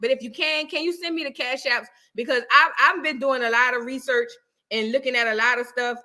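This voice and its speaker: A woman from New Orleans with a New Orleans accent hosts a casual livestream, her voice bright and forward. She sits a touch higher than most women and chats loosely with her viewers. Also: fairly even intonation, fairly quick. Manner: warmly thanks viewers, calls them baby. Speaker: livestream host.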